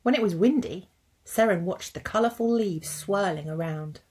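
Casting: female teacher, thirties, children's story narrator, mid-pitched, husky, deliberate phrasing. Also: darkish tone. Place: indoors at a computer.

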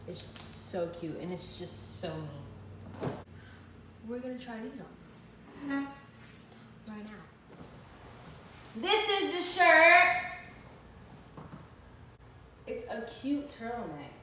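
Young woman vlogger, playful and slangy, unhurried, roomy far-off sound with audible background noise. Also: a little high, sing-song, bright, forceful tone. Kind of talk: casual clothing haul. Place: living room, indoors. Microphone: built-in camera mic from across the room. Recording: echoey room, voice off-mic, ambient noise.

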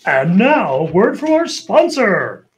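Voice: mid-range voice, sing-song, takes it slowly. An amateur performer playing the narrator of an old-time radio show, announcing from a script.

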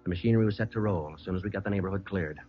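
A tough, dry police detective narrating an old radio crime drama, played by a man. He speaks low, rapid and terse. The recording is muffled, with some music. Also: close to an everyday voice. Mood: matter-of-fact. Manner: terse, matter-of-fact. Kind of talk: 1950s radio drama acting with scripted narration.

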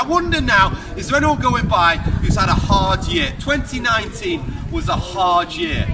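A young man delivers an open-air sermon in a high, carrying voice, firing rhetorical questions at passers-by. He is caught from a distance by a camera on a tripod. Loud street noise and traffic fill the background. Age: young adult. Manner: loud, emphatic, projecting to passers-by; asks the crowd repeated rhetorical questions. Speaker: street preacher. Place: outdoor town square, busy pedestrian street. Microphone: far camera on a tripod. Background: loud street ambience and passing traffic.

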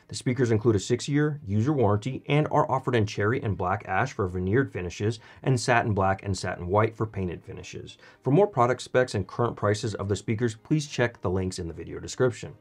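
Male reviewer, slightly low voice, scripted narration for video reviews. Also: animated, a little fast. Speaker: product reviewer and channel host.

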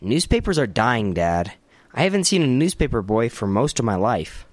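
A man's voice: mid-range voice, big pitch swings, moderate pace, no room sound. A man acting as an earnest, hesitant youth looking for work in a family radio comedy.

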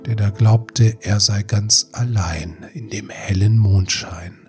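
A man's voice: fairly low pitch, a little mellow. A man reading a scripted fairy tale as an audiobook narrator. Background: music.

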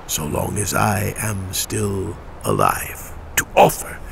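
An actor playing an irritable, haughty ancient mystic in an audio drama, gravelly and halting, with theatrical archaic phrasing. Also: male voice, sits low, sing-song, a bit bright, a little hiss or hum, exaggerated voice. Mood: grudging. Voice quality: gravelly, breathy at times.

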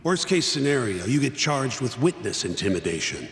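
An actor playing a jaded, exasperated middle-aged lawyer in a video game, blunt and world-weary in manner. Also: male voice, medium pitch, sing-song, normal speed, a bit bright, a little hiss or hum. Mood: matter-of-fact. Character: jaded, exasperated older male defense lawyer. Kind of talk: video game cutscene acting. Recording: echoey hall reverb, music behind.

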